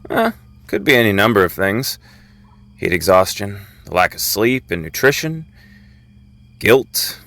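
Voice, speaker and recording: Male actor as ghostly young best friend, audio drama, fairly low voice, steady, frank, reassuring. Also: unhurried, pauses often, exaggerated voice. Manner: steady, familiar, gently firm. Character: dead friend as hallucination, young man, calm, frank, reassuring.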